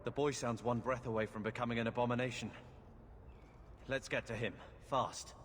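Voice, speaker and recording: Man voicing a grim, brisk companion. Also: mid-range voice, fairly even intonation, fairly bright tone, long reverb tail, slight background noise, close to an everyday voice. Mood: urgent.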